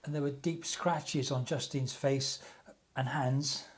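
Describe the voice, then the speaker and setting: A man's voice: medium pitch, steady tone, slow, natural voice. A man playing an earnest, troubled young family friend in a radio play, grave and hesitant.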